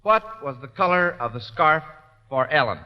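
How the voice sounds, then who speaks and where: High-voiced man hosting an old radio quiz. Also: expressive, normal speed, clean tone. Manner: hesitant and filler-prone when interrupted. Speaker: quiz show host. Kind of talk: 1940s radio quiz hosting, reading listener questions. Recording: old radio recording, dull sound, long reverb.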